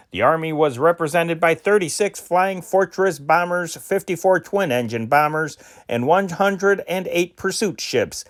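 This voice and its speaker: A man reading old newspaper dispatches aloud as an amateur newsreader, steady and deliberate, with occasional slips he corrects. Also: a little high.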